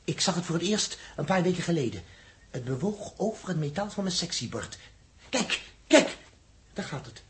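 An actor playing a brusque, secretive, stubborn spaceship technician in a 1960s radio drama, defensive and halting when pressed. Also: male voice, on the high side, big pitch swings, slightly rough, exaggerated voice. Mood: intent, fascinated. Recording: muffled, indistinct old radio recording.

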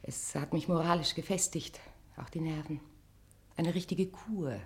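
Casting: nervous, self-righteous married lady, low register, breathy halting delivery, German radio drama. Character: married bourgeois woman, nervous, evasive, self-righteously pious.

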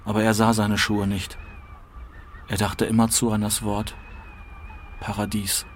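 A man giving a dramatic reading of literary prose in short, deliberate phrases. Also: on the low side, monotone, slight background noise. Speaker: literary reader.

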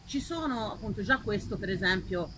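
A woman's voice: medium pitch, lively intonation, moderate pace, background noise. A woman in the audience asking an informal, unrehearsed question at a public talk.

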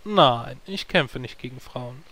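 A man's voice: medium pitch, lively intonation, slow, noisy background. A man playing a sarcastic, exasperated druid in a German fantasy parody audio drama.

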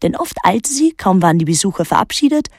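An actress playing a wary, withdrawn teenage daughter who narrates her island story in a measured, introspective radio drama style. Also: medium pitch, very animated, dry recording, clean studio sound. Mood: reflective.

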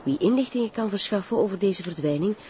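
An actor playing a television newsreader, formally reading a scripted police appeal within a drama. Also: male voice, high voice, animated, quick pace, a little mellow, lo-fi sound. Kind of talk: scripted news bulletin.

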